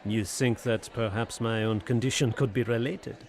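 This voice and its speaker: An actor playing a polite, sceptical French gentleman in a radio drama, courteous and questioning. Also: medium pitch, steady tone, normal speed, natural voice. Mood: polite, uneasy.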